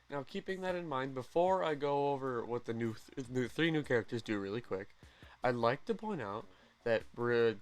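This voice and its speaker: Excitable young man rambling over game news. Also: medium pitch. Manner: rambling, self-correcting, excitable. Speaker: gaming channel host. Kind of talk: casual game news commentary.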